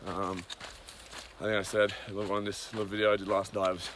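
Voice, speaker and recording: A man's voice: lowish voice, level tone, fairly quick, noisy background. A man giving a casual, rambling daily update on a hiking trail, with many ums and side tangents. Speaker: long-distance hiker. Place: outdoors on a cold trail.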